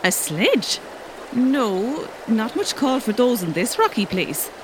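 A woman's voice: a little high, very animated, slow, background noise. A woman playing a doting, sweetly coaxing old cottage woman in a fairy-tale audio drama.

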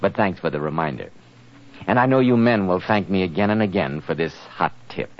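A man's voice: sits low, expressive, fairly quick. An American man announcing a 1940s radio show in a polished, chummy salesman's style. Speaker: announcer and sponsor pitchman. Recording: dull lo-fi 1940s radio recording.

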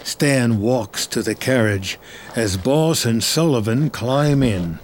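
A man reading screenplay action as scripted narration, in a measured, present-tense manner. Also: mid-range voice, animated, slight background noise.

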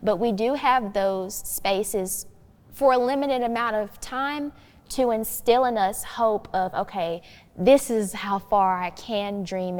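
A woman's voice: medium pitch, darkish tone. Podcast guest, young woman, earnest and emphatic conversational delivery, very reverberant hall-like sound. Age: young adult.

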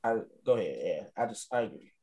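A panel guest from Connecticut chatting casually, with a lively delivery. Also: medium pitch, fast. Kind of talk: casual chat.

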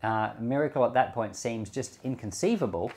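A man speaking as a Bible podcast host, with measured, emphatic phrasing in devotional reading and commentary. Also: mid-range voice, darkish tone.

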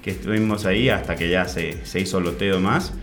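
Low-voiced guy from chile, winemaker guest, stops and restarts a lot, faint music under. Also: brisk, fairly bright tone. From Chile, raised in Argentina. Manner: hesitant, many fillers and restarts. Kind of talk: interview.

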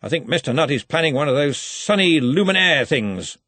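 A man's voice: fairly high pitch, lively intonation, moderate pace, a bit bright, dry recording, voice pushed up. A man voicing a jovial, tall old university Arch-Chancellor in an audiobook, speaking in hearty, clipped phrases with a faintly threatening cheer. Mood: boisterous, enthusiastic.

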